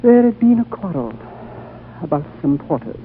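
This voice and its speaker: An ancient wise lama, soft slow voice sitting a bit high, tinny old radio sound. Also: male voice, big pitch swings, a little hiss or hum. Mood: solemn. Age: older. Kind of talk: 1930s radio drama acting.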